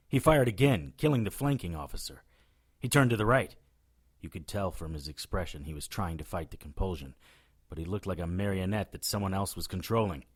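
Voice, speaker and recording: A wry witch hunter telling his own adventure in an audio drama. His voice sits fairly low, dry and sardonic, with a cocky streak. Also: breathy edge. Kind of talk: first-person audio drama narration and dialogue.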